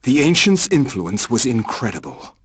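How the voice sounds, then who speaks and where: Actor as a grave, learned horror chronicler. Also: male voice, medium pitch, big pitch swings, noisy background, lightly acted. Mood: grave, measured. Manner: measured, formal, literary. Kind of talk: scripted storytelling narration for a horror game.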